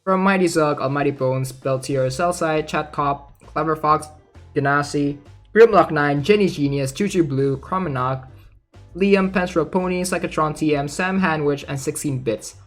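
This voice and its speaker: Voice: mid-range voice, normal speed, faint music behind. A streamer giving casual, conversational commentary on a game during a livestream. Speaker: streamer, host.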